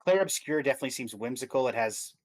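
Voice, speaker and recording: A man's voice: mid-range voice, expressive, quick pace. A man co-hosting a stream, chatting casually about video games and thinking aloud as he goes. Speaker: stream co-host.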